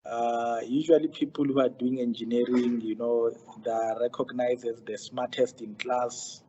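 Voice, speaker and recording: A man's voice: medium pitch, little pitch movement, moderate pace, dark tone, lo-fi sound, slight background noise. A man hosting an interview, polite and formal, with frequent hesitations. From South Africa. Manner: polite, formal, many fillers. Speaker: interview host.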